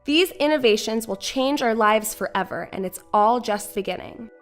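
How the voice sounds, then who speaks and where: Woman, explainer narrator, scripted delivery, high voice, background music. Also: expressive, normal speed.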